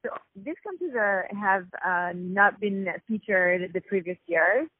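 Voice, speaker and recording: A woman from Paris with a French accent, a festival founder explaining things in a measured way over the phone. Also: mid-range voice, animated, slightly rough, no echo. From Paris, France. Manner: measured, explanatory, many fillers. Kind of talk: phone interview. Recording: tinny phone line, dry.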